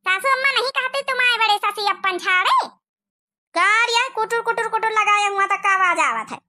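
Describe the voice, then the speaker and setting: A woman's voice: very high voice, normal speed, background noise. A woman voicing a spoilt, haughty young daughter-in-law in an Awadhi cartoon comedy, with a sassy, complaining manner and village accent. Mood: indignant.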